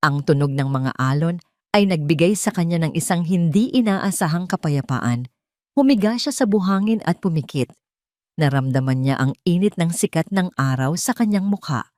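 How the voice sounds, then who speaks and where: A woman's voice: lowish voice, lively intonation, moderate pace, darkish tone, no echo, clean studio sound. A woman reading a scripted dramatic story as its narrator.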